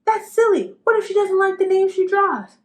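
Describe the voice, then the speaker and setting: A woman voicing an excitable, outspoken schoolboy in a children's storybook. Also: very high voice, moderate pace, voice pushed up.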